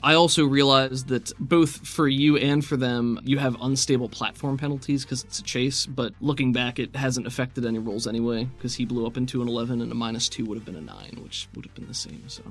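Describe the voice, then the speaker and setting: A man's voice: medium pitch, fairly quick, hardly any pauses. Casual male game master over background music. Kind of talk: running and narrating a tabletop role-playing game.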